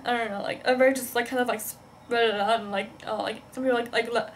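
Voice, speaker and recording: A young woman with a fairly high voice talks casually over some background noise, like an amateur vlog. She rambles and stops and starts, padding nearly every phrase with "like". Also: fairly even intonation. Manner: halting, heavy use of "like", rambling. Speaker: vlogger. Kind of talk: casual rambling chat to camera.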